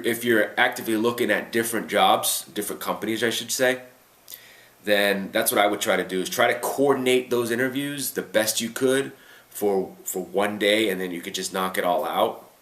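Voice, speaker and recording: A man's voice: fairly low pitch, normal speed, fairly bright tone. A man hosting an informal advice Q&A, explaining things step by step in an emphatic, instructive way and often checking in with the listener. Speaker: host of a career advice Q&A show. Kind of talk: informal unscripted advice talk. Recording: echoey, roomy sound.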